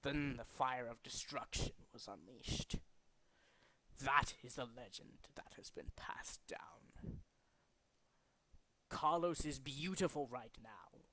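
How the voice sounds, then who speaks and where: A man voicing a grandiose, zealous villain leader in a video game, speaking in a deliberate, pompous, theatrical way. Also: mid-range voice, pushed and bright, poor audio. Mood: solemn, brooding. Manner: deliberate, dramatic, pompous declaiming. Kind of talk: theatrical video game character demo reel.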